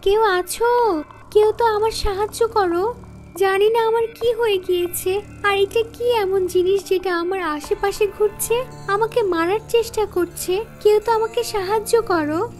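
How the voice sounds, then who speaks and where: Very high-pitched frightened young woman. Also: fairly even intonation, measured pace, darkish tone, faint music behind. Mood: terrified, pleading. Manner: pleading, dramatic, distressed. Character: frightened young pregnant woman stranded in a haunted forest. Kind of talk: animated horror story voice acting.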